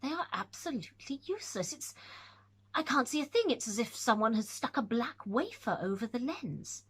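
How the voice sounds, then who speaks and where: Woman reading as a polite young gentleman. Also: a little high, big pitch swings, normal speed, husky, full character voice. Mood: puzzled.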